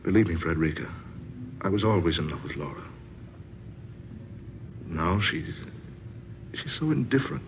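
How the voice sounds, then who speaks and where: An actor playing a brooding, discontented husband in an earnest, melodramatic old-time radio style. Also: male voice, low-pitched, expressive, normal speed, long pauses. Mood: bitter, desperate. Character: sceptical, discontented husband, desperate secret lover. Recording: dull, noisy old radio drama recording.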